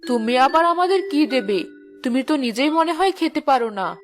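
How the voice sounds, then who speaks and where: A woman's voice: sits high, lively intonation, moderate pace, over music. A woman voicing an impatient, skeptical elder sister from a village in a cartoon. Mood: annoyed. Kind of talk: cartoon voice acting.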